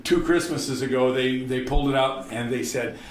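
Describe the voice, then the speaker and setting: A man's voice: medium pitch, normal speed. An older man explaining a science demonstration on a talk show, rambling and restarting his sentences, laughing easily. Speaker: science museum guest. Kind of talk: casual talk show demo. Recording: echoey room with loud background noise.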